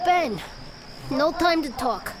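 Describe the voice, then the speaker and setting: An actress playing a frantic, pushy news reporter in a video game, urgent and dramatic. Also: female voice, high-pitched, expressive, slow, background noise. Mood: frantic, pleading.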